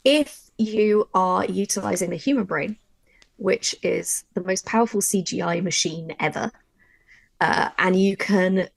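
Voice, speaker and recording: A woman's voice: medium pitch, unhurried, creaky, no echo, low-quality recording. A woman writer chatting informally and encouragingly in a conversational workshop discussion about her craft. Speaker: audio drama writer giving a workshop.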